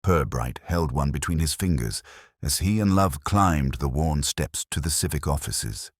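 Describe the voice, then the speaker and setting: A man narrating a scripted mystery story in a measured, deliberate way. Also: very deep voice, expressive, no room sound, studio quality. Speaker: audiobook narrator.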